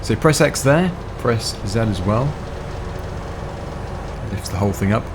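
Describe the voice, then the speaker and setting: A young man, slightly hoarse, chatting casually through a game while thinking aloud with fillers. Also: fairly low pitch, lively intonation, unhurried, noisy background. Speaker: gaming channel host. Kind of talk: casual gameplay commentary.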